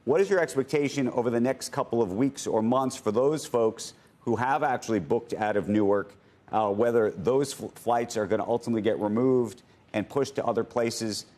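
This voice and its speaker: A man with an American accent working through roundabout, halting questions as a TV news anchor in a conversational style. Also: medium pitch, darkish tone.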